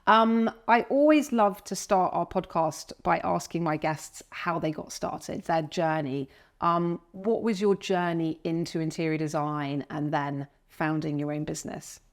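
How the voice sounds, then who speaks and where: A woman hosting a property podcast, warmly effusive with hesitant fillers, recorded in a client's house. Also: deep voice, unhurried. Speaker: podcast host, buying agent. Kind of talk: podcast interview.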